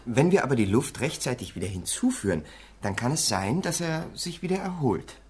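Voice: medium pitch, very animated, brisk, darkish tone, slightly rough. A performer playing an eager young son and pupil in a German radio play, explaining things with some hesitation.

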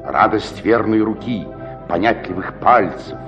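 A man's voice: on the low side, measured pace, music in the background, natural voice. An actor playing a merry, talkative middle-aged joiner who loves drink, in a theatrical radio-play monologue, savouring his words and chuckling. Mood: savouring, boastful. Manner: savouring, chuckling, rhetorical, swinging from murmurs to exclamations. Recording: dull lo-fi 1960s radio recording.

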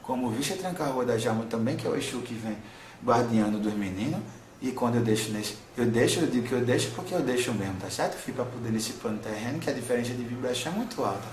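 A man's voice: medium pitch, lively intonation, fast, echoey room, a little hiss or hum, close to an everyday voice. A man channeling a tender old grandmother spirit. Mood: gentle. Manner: soothing, keeps calling the listener "son". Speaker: spirit medium. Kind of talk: spiritual counselling session.

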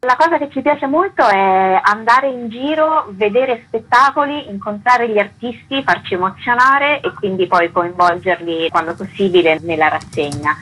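A woman's voice: on the low side, fairly bright tone, slightly rough, low-quality recording. A woman speaking conversationally as a guest in a radio interview, an artistic director describing a programme.

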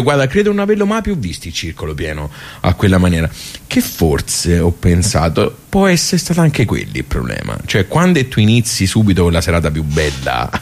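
A low-pitched, simple-hearted man of the village club for radio theatre. He talks in a colloquial Tuscan accent, rambling and breaking off into muttered asides. His temper is grumbling and nostalgic. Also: heavily acted. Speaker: actor in a radio theatre monologue.

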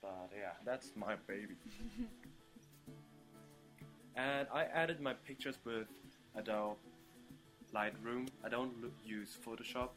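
A young man from Germany answering interview questions casually, with many pauses and ums and playful outbursts. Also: mid-range voice, lively intonation, faint music behind. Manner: hesitant, many ums, bursts into playful loud laughter. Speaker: interview guest.

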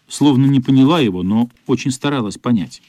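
A man narrating a literary radio play in a measured, somber, dramatic style. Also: mid-range voice, mellow, dry recording. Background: faint music.

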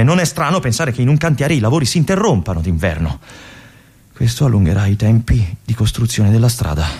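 An actor playing a lonely, obsessive army lieutenant in a stage monologue, musing aloud and swinging between brooding and feverish. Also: male voice, medium pitch, darkish tone, breathy edge. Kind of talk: theatrical monologue for radio.

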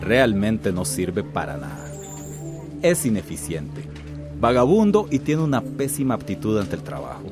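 Blustering company boss, swooping pitch. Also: male voice, sing-song, a little slow, over music. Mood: disparaging. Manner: blustering, two-faced, domineering. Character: bullying male company boss, falsely jovial, scornful. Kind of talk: radio drama acting.

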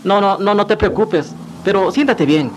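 An actor playing a nervous, guilty husband who mutters and exclaims in a radio drama. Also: male voice, high voice, normal speed, natural voice. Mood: nervous, hurried. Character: nervous, guilty husband, secretly panicking while driving. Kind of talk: Spanish-language radio drama acting. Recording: noisy 1990s radio drama with car sounds.

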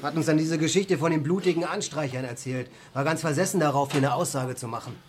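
An actor in a German radio drama playing a cynical, dismissive street cop with a mocking, slangy delivery. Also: male voice, fairly high pitch, fast talker, crowd noise, close to an everyday voice. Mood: mocking, amused. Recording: clear background ambience noise.

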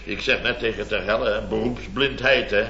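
A man's voice: lowish voice, brisk, pushed and bright. A Dutch man acting a blustering, self-important building-firm boss in a radio comedy, rambling indignantly. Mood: self-justifying. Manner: indignant, rambling storytelling with tag words. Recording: dull, echoey vintage radio recording with audience.

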